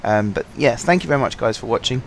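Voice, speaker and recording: A man with a sore throat, slightly husky, casually commenting on a game through a headset, rambling and apologetic with many ums. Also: medium pitch, expressive, fast, a little hiss or hum. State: sore throat, fighting coughs. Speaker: gaming channel host. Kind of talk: casual let's-play commentary.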